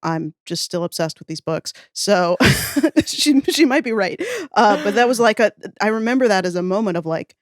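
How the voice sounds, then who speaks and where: A woman's voice: medium pitch, lively intonation, normal speed, dry recording, clean studio sound. A woman chatting casually as a podcast guest, reminiscing in an enthusiastic, rambling, self-deprecating way. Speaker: podcast guest, audio fiction writer.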